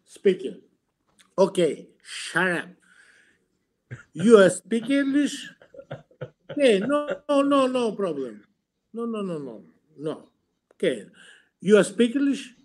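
A middle-aged man with a notably high voice argues over a webcam from a room at home. He is aggressive and mocking, swearing freely and throwing insults at the person he is talking to. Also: big pitch swings, slow pace, long pauses. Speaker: video chat stranger. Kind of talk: heated argument. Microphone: webcam on a video call.

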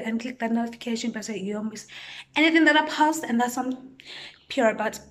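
A young woman from Eswatini with an Eswatini English accent, vlogging casually into her phone, swinging between near-whispers and loud exclamations. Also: fairly high pitch. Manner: chatty, drops to near-whispers then bursts into loud exclamations. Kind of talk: casual vlog chat. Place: home indoors and cold street outdoors. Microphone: phone front camera at arm's length.

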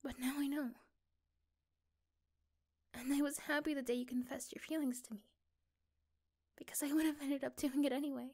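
Woman performing a loving young girlfriend, high voice, soft-spoken, reassuring, intimate ASMR roleplay. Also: fairly even intonation, moderate pace, pauses often. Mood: tender, grateful. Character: affectionate, reassuring young girlfriend chatting intimately to her partner.

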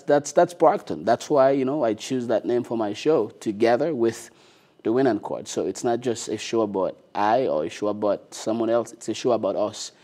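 A man's voice: on the low side, mellow. A young man whose first language is not English, speaking earnestly and eagerly as a guest in a studio interview on a lapel mic. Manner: earnest, eager, many short affirmations. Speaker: guest, city councilor. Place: TV studio with dark curtains.

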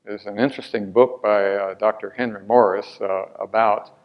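A man's voice: lowish voice, steady tone, normal speed, muffled recording. An older man answering audience questions after a lecture, hesitant with many fillers and restarts, on a lapel mic in a large hall.

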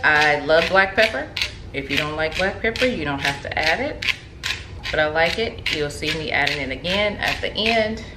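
A woman in her thirties casually talking through a recipe in her home kitchen, chatty and relaxed, with lots of ums and likes. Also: low voice, normal speed. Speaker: home cook vlogger. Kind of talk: casual cooking demonstration. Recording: echoey kitchen with background noise. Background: TV playing in the background.

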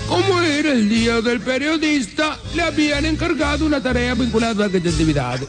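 A man's voice: very high voice, animated, a little fast, music in the background. An Argentine man telling a comic scripted story as a radio narrator.

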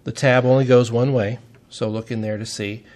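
A man's voice: mid-range voice, fairly even intonation, moderate pace, a little mellow. A man giving a step-by-step technical demonstration in a methodical, plain-spoken way, as an instructor would.

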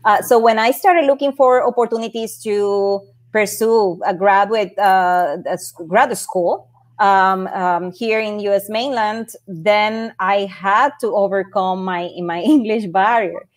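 A woman's voice: medium pitch, a little slow. A woman from Puerto Rico with a Puerto Rican Spanish accent, telling her career story as a panel guest over a video call, earnest and often restarting her words. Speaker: panel guest, space project manager. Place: home office, indoors.

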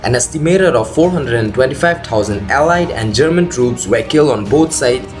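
A man's voice: mid-range voice, expressive, normal speed. A man reading scripted documentary narration as the host of a video. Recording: loud music under the voice. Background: loud background music.

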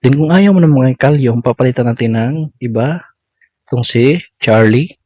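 A man's voice: medium pitch, moderate pace, darkish tone, dry recording. Male Filipino tutorial narrator, step-by-step delivery, dry and slightly dull recording. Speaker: content creator giving a tutorial. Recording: dull lo-fi audio.

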